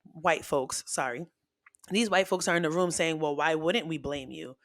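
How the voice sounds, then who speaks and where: Guest podcaster, male, slightly high voice, animated and candid, lots of restarts, dry studio sound. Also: moderate pace, no echo. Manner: animated and expressive, with frequent restarts; frank and self-teasing. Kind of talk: casual chat.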